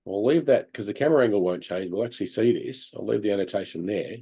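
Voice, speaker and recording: A man coaching amateur hockey players over a call, talking through game footage in an animated way and laughing often. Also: on the low side, fast, husky, dull audio. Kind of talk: video review of game footage. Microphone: video call.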